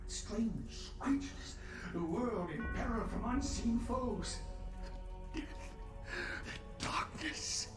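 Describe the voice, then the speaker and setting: An actor playing a bitter, raving male asylum inmate in a gothic horror game, anguished, halting and melodramatic. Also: very high voice, lively intonation, full character voice. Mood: bitter, haunted. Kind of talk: theatrical gothic game monologue. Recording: echoey, distant, loud music behind.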